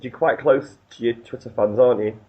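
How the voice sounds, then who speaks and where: A man's voice: mid-range voice, steady tone, a little fast, husky, lo-fi sound. A man hosting a radio interview, asking questions and agreeing easily, often ending with tag questions. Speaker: radio host.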